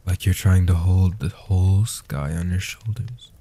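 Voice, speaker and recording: Man playing a hushed, dark-voiced ancient guardian vampire. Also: sits low, fairly even intonation, heavily acted. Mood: tender, reassuring. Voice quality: soft, breathy, hushed. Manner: slow, deliberate, soothing. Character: ancient male guardian vampire, protective, tender, world-weary. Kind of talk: soft-spoken comfort audio roleplay.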